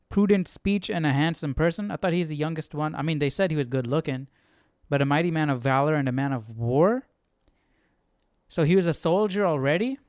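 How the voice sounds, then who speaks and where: A man's voice: a little high, no echo, studio quality. A man hosting a Bible study stream, musing aloud conversationally and casually checking for agreement. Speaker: Bible study livestream host. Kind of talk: casual reaction and commentary.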